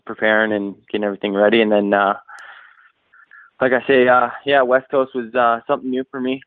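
A young Canadian man, a pro motocross racer, answering interview questions over the phone in a relaxed way, full of uh and you know. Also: fairly low pitch, no room sound. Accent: Canadian, slightly worn toward American. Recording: tinny dry phone line.

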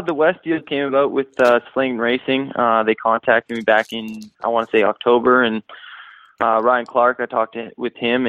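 A young man with a Canadian accent talks over the phone as an interview guest. His voice sits low and flat, and he speaks in a relaxed way, stringing thoughts together with plenty of uh and you know. The sound is tinny, dry and phone-like. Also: no echo. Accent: Canadian, slightly worn toward American. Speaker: guest, pro motocross racer.

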